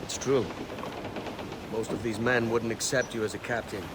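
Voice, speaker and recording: An actor playing a brash, cocky young pirate captain with a Welsh accent and a wry, bantering manner in a video game. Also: male voice, medium pitch, level tone, moderate pace, noisy background, close to an everyday voice. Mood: easy, wry. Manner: wry, bantering, seafaring turns of phrase. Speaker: ship's captain. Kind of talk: video game cutscene acting.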